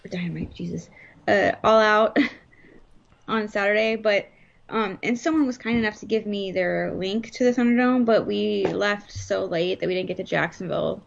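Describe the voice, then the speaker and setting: A woman co-hosting a live stream in casual chat, her voice hoarse, rambling with lots of fillers. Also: medium pitch, dull audio. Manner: chatty, rambling, frequent fillers. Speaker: podcast co-host.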